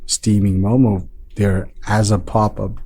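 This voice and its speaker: Guy from nepal, restaurant owner on a podcast, lowish mellow voice, lots of uhs. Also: breathy edge. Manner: relaxed, unhurried, many fillers and restarts. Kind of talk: casual interview talk.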